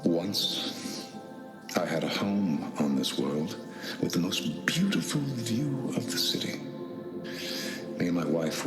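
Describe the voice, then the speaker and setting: A game cult prophet, super low and creaky, soothing theatrical preaching, roomy echo. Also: male voice, full character voice. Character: smooth, grandiose male cult leader addressing followers as hologram. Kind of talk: video game voice acting.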